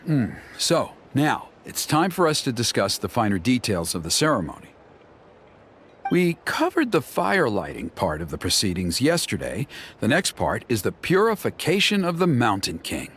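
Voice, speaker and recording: A flattering, scheming old elder from a video game. He speaks formally and persuasively at a middling pitch with very lively intonation, over slight background noise. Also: normal speed. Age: older.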